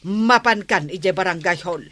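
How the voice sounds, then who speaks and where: A woman's voice: on the low side, moderate pace, fairly bright tone, natural voice. A woman playing a nagging, exasperated character who scolds insistently with an Ilocano accent in a comic radio drama. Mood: scolding.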